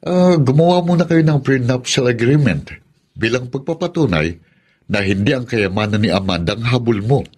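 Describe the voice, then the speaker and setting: An actor playing a practical, advising male friend with a husky voice in a radio drama. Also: mid-range voice, lively intonation, moderate pace, darkish tone.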